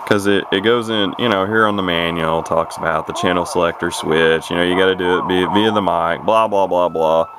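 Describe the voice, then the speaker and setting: A man giving a casual, unscripted radio demo in a folksy, rambling way, thinking out loud as he goes. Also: deep voice, steady tone, a little fast, hardly any pauses, noisy background. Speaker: hobbyist demonstrating a CB radio.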